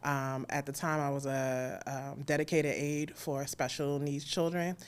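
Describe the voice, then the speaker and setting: A woman's voice: very deep voice, level tone, measured pace, clear, resonant tone. An American woman chatting informally as a guest in a live interview. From Alexandria, Virginia, United States. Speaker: interview guest, audio drama creator. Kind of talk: casual live interview talk.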